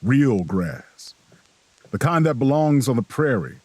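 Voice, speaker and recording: An actor playing a wary, folksy traveler who tells his story in an audio drama with a soft drawl. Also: mid-range voice, sing-song, husky, no echo, heavily acted. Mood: hushed dread. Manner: slow, suspenseful first-person narration. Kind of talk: audio drama narration and dialogue.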